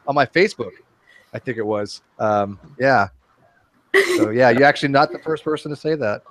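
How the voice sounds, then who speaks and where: A man's voice: mid-range voice, normal speed, a crowd in the background. A man hosting a casual video call chat, rambling and joking at his own expense with lots of restarts. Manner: self-deprecating, rambling with restarts. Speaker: host.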